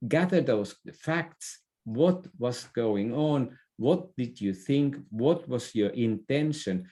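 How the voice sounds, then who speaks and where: A man with a mellow, slightly low voice explains his ideas in an interview. His delivery is unhurried and halting, with repeated words and self-corrections, in a conversational style. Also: expressive. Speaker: interview guest, leadership expert.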